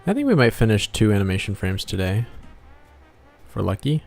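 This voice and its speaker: A man streaming as a game developer and artist, chatting casually and muttering in a low-key way as he works. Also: big pitch swings, moderate pace, vocal fry, a little mellow, soft background music. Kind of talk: casual livestream chat while drawing.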